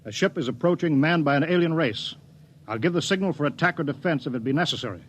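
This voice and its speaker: An American actor playing a wary, commanding spaceship captain in a 1950s science fiction radio drama, giving clipped, authoritative orders. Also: male voice, on the high side, expressive, fast talker, slight background noise, natural voice. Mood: wary, firm. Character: stern, wary male spaceship captain, commanding and duty-bound. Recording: muffled vintage radio recording, faint music.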